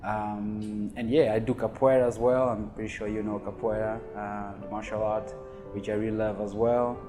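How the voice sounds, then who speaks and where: A man with a fairly low voice talks in a flat, laid-back way. He halts often and uses fillers. Music plays clearly behind him. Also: moderate pace. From Cape Verde. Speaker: finance professional and musician. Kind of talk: casual self-introduction.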